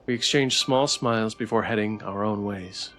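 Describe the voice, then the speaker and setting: A man's voice: on the low side, normal speed, bright, forceful tone, slight background noise. A man streaming a game live, reading its story text and chat aloud with music behind him.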